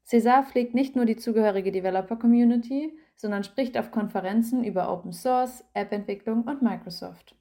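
A woman reads a written feature aloud as scripted narration. Her voice sits at a middle pitch, and her delivery stays even and measured throughout. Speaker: narrator reading an article aloud.

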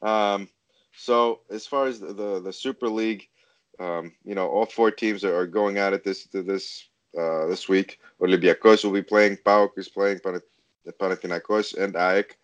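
Male sports co-host, low pitch, dry close sound, casual, hesitant, measured. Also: fairly even intonation, dry recording, dull audio.

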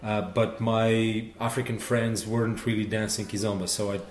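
Man, late thirties, low voice, flat tone, relaxed storytelling, roomy echo. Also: normal speed. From Portugal. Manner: relaxed storytelling with many "you know"s. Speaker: dance teacher and festival organizer. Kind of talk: casual interview answers.

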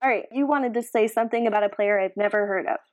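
A woman's voice: medium pitch, quick pace. A woman co-hosting a sports podcast in casual, conversational chat. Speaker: podcast co-host.

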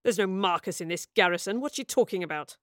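A woman voicing a stern, impatient male senior officer in a story, barking curtly and forcefully with contempt. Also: mid-range voice, animated, quick pace, dry recording, clean studio sound, natural voice. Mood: irritated.

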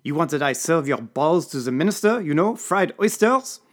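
A man voicing an excitable older Belgian butler with a French accent who speaks broken English and hisses when angry. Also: a little high, normal speed, voice pushed up. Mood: vengeful. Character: panicky older male Belgian butler, fiery.

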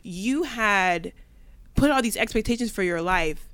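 A woman's voice: medium pitch, animated, unhurried, a bit bright, smooth. A woman in her twenties speaking candidly and reflectively in a casual group chat. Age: 20s.

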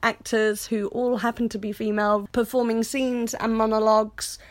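A woman's voice: on the high side, flat delivery, normal speed. A British woman co-hosting a podcast in a casual, chatty style, full of playful, jokey banter. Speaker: podcast co-host.

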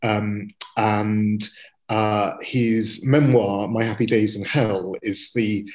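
Measured man with frequent fillers. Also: on the low side, flat delivery, darkish tone. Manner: measured, many fillers between phrases. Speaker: journalist and church historian. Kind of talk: panel discussion.

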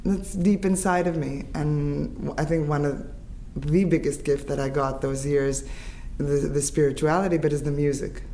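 Woman in her fifties, grew up in Israel, English first language, halting reflective speech, light ambient noise, interview guest. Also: deep voice, steady tone, dark tone. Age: 50s. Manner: halting, restarts and fillers, reflective. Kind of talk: conversational interview.

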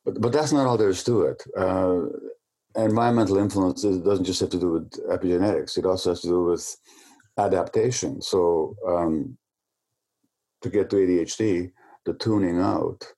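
A man's voice: low voice, moderate pace, dark tone. A man being interviewed who explains at length in a lecturing way, often stumbling over repeated words as he restarts. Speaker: interview guest.

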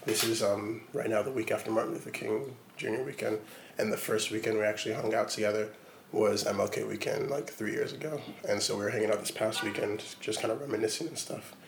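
Man, 20s, low gravelly voice, halting casual interview answers, faint background hiss. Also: steady tone. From Portland, Oregon, USA. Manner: hesitant, many ums and likes. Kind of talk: relaxed conversational interview.

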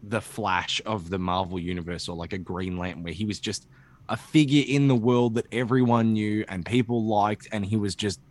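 Male podcast co-host, slightly low register, animated and sweary, loose opinionated chat. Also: normal speed. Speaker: comic book podcast co-host.

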